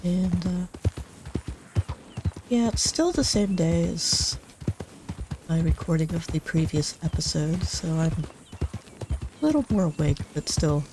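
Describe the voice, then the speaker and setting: A woman's voice: lowish voice, animated, measured pace, pauses often, background noise. A woman hosting gameplay commentary, congested from a cold and talking in an easygoing, hesitant way. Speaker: let's play host. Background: game music.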